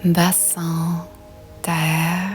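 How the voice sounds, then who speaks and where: Slow, half-whispered woman guiding relaxation. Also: fairly low pitch, little pitch movement, soft background music. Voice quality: soft, breathy, half-whispered. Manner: slow, soothing, gentle. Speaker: yoga nidra guide. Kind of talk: guided relaxation meditation.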